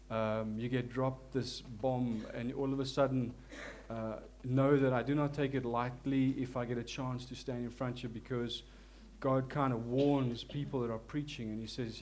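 A man's voice: mid-range voice, slight background noise. Earnest South African man preaching. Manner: earnest, humble, halting with many restarts; prays softly and slowly. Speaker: lay preacher. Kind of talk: church sermon and prayer. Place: church.

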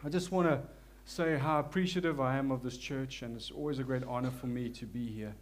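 A man's voice: medium pitch, fairly even intonation, slight background noise. A man with a South African accent gives a sermon to a church congregation. He speaks earnestly and humbly, stopping and restarting often as he feels for his words. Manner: prays softly and slowly. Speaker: lay preacher.

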